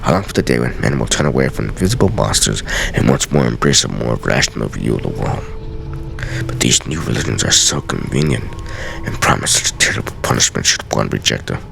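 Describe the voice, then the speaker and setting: Brooding scholar of game journals, acted by a man: grave and theatrical, rock-bottom pitch, swooping intonation. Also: expressive, creaky, over music, exaggerated voice, poor audio. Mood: solemn, contemplative. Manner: grave, measured, theatrical delivery. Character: solemn, brooding scholar writing secret journal pages. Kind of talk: dramatic reading of game lore.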